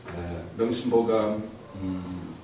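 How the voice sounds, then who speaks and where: A man with a Korean accent explaining ideas as a professor in simple, halting second-language English, using short emphatic phrases. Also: fairly low pitch, creaky, a little hiss or hum. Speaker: professor, guest speaker. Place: echoing talk room at a community centre. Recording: echoey hall from far off, muffled.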